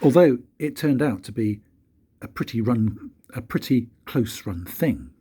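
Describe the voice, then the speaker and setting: An older man, a grandfather, reading a children's storybook aloud in an expressive, unhurried way with dramatic pauses. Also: mid-range voice, slightly rough. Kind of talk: storybook narration.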